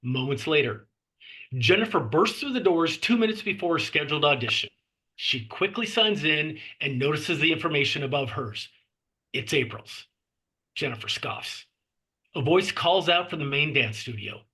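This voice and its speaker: A man narrates a screenplay at a table read, reading out the stage directions. His voice is fairly high and has a bright, forceful edge. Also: expressive, normal speed, rough recording.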